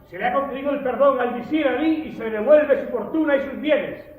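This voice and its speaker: A man's voice: extremely high pitch, brisk. An actor playing a treacherous enemy messenger in a radio drama, declaiming his lines. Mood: proclaiming, coaxing. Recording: muffled, long cavernous reverb.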